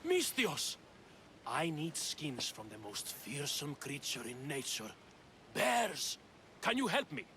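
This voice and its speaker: A man playing an excitable, theatrical character in a video game, awed by fearsome beasts, speaking in a grandiose, exclamatory way. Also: a little high, takes it slowly, a little hiss or hum, exaggerated voice. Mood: excited, dramatic. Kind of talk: video game cutscene acting.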